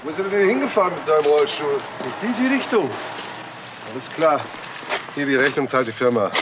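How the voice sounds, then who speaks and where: An actor playing a brisk, commanding man in a crime radio play, terse, in a noisy outdoor scene. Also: a little high, big pitch swings. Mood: businesslike. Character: brisk, commanding male rescuer with a gun.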